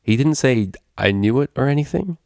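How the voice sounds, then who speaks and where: Man voicing a casual, gravelly unicorn DJ mare. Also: medium pitch, lively intonation, normal speed, darkish tone, dry recording, studio quality, voice pushed up. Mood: relieved, softer. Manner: slangy, informal. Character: brash, casual female unicorn DJ, quick to worry.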